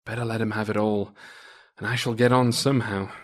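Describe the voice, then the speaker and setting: A man's voice: fairly low pitch, fairly even intonation, fairly quick, close to an everyday voice. A man voicing a weary, kind-hearted old soldier in a folktale, musing plaintively on his lot. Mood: pondering.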